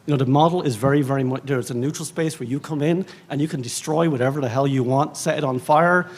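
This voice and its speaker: Husky Irish man, rambling panel talk. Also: mid-range voice, quick pace. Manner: rambling, animated, self-mocking, heavy with fillers. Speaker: panelist, librarian. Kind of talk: conference panel discussion. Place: conference stage.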